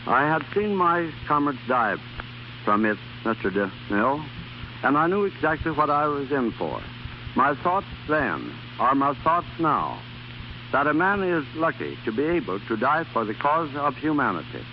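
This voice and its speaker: A middle-aged man answers as a guest on an old radio program, earnest and a bit stiff. His pitch is slightly high and moves animatedly, over a dull 1930s recording. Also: big pitch swings, moderate pace, slight background noise. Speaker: interview guest, former army private. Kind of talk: scripted 1930s radio interview.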